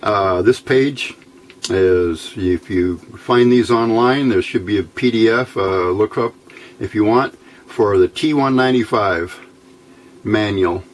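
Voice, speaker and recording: A man giving an unscripted hands-on technical tutorial in a matter-of-fact, halting, step-by-step way. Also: lowish voice. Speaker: host of an electronics build tutorial. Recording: clear background noise, room ambience.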